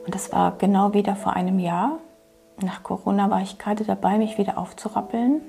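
A middle-aged woman gives a personal interview with a gravelly texture to her voice. She is candid and reflective, pausing and restarting as she searches for words. Clear background music runs beneath her. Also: medium pitch, level tone. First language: German. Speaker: interviewee, children's ministry worker. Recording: music playing underneath.

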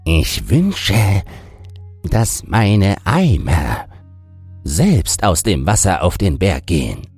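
A man's voice: deep voice, big pitch swings, darkish tone, slightly rough, soft background music, voice pushed up, exaggerated voice. Man acting a lazy, drawling village fool. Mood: bargaining. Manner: lazy, deliberate, drawn-out delivery. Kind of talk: fairy-tale audiobook character voice.

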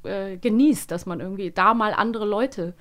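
A woman's voice: mid-range voice, normal speed. A woman hosting a podcast, interviewing in a casual, conversational way. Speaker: podcast host. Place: podcast studio.

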